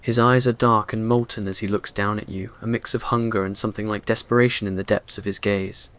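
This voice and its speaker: A man's voice: fairly low pitch, steady tone, a little fast, fairly bright tone. A man reading scripted romantic roleplay narration in an ASMR story style.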